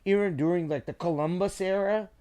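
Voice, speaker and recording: A man's voice: sits high, a little fast, dark tone. A man co-hosting a podcast, chatting casually and in an opinionated, slangy way.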